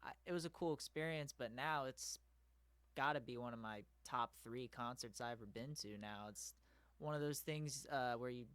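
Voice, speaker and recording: A man's voice: medium pitch, normal speed, fairly bright tone, clean tone, no room sound. A young man hosting an interview, asking questions and chatting loosely with frequent "like" and "I mean". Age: young adult. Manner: chatty, uses "like" often. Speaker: interviewer, host. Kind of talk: casual interview conversation.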